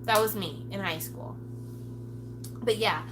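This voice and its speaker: A woman's voice: medium pitch, slow pace, a bit bright, a little hiss or hum, rough recording. A woman in her forties chatting frankly to her viewers, casual and candid, now and then cursing. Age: 40s. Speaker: vlogger. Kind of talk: casual chat to camera.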